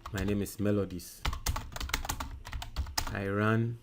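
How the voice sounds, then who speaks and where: A man from Ghana with a Ghanaian English accent who hosts a political show on social media. He reads viewer comments forcefully, then dictates an email slowly while he types. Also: on the low side, flat delivery, background noise. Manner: loud and emphatic when reading comments, quiet and halting while typing.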